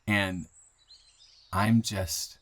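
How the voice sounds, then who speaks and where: A man plays an earnest young guy in his early twenties, smitten and new in town. His voice sits a little low. He talks straight to the listener in an intimate improvised roleplay, rambling and doubling back on his words. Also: steady tone, fairly bright tone. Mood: earnest, tender. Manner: halting, rambling, with repeated words and nervous chuckles.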